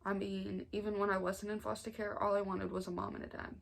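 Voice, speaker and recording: A young American woman of about nineteen, talking informally and earnestly in a halting way, as in a personal storytime vlog. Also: mid-range voice, flat delivery, gravelly. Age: 19, nearly 20. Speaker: family vlogger. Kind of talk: personal storytime vlog, casual confessional talk.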